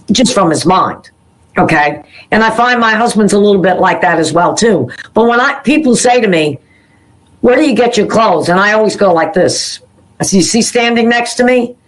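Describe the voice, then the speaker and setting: A middle-aged woman hosting a talk show, chatting warmly and telling stories about her own life. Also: medium pitch, poor audio. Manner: chatty, storytelling, laughs easily. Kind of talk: casual interview chat.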